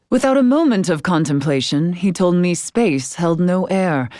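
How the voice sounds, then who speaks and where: Actress as stern scholarly fantasy noblewoman, lowish pitch, formal archaic diction, measured delivery, video game dialogue, close dry sound. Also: a little mellow, dry recording, close to an everyday voice.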